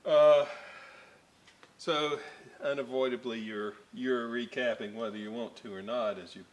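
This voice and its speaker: A man's voice: medium pitch, steady tone, measured pace, low-quality recording. A man casually narrating his radio restoration work at an electronics workbench, rambling with many fillers and side stories. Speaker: electronics restoration hobbyist host.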